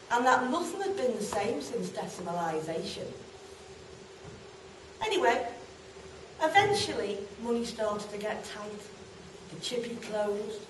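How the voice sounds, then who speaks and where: A woman acts out a chatty working-class woman with a northern English accent, reminiscing fondly in a stage monologue. Her pitch sits fairly high and she keeps putting questions to her listeners. She is heard from a distance in a roomy, noisy space. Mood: wistful, chatty. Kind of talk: amateur stage monologue addressed to the audience. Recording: roomy, distant mic, noisy live hall.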